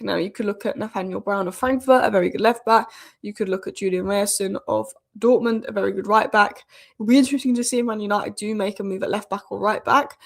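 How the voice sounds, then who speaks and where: A young woman hosts a live football stream while fighting a cold, so she sounds sniffly and rough in the throat. She talks in a chatty, opinionated way, with lots of fillers and restarts. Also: medium pitch. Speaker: football channel host. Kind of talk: casual live-stream commentary.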